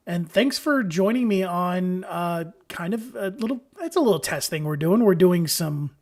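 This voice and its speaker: A man with a hoarse voice from allergies, hosting a casual live-streamed software tutorial from home. He is chatty and upbeat and talks straight to his viewers. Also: high voice, animated, normal speed. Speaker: software trainer hosting a webinar.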